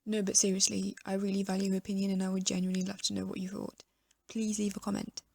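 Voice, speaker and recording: A young woman reads a chatty end-screen voice-over, poking fun at herself. Her voice is gravelly with plenty of fry. It sounds close and dry, with no room around it. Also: medium pitch, monotone, quick pace, warm tone, no room sound. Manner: self-deprecating, chatty. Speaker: vlogger.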